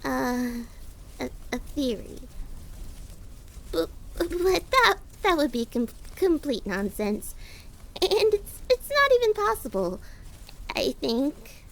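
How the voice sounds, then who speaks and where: A timid lizard monster girl in an audio drama. The voice is high and creaky, swinging around anxiously. Words come very slowly, with stammers and hesitations. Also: very animated, heavily acted. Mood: hesitant, anxious. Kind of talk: audio drama character acting.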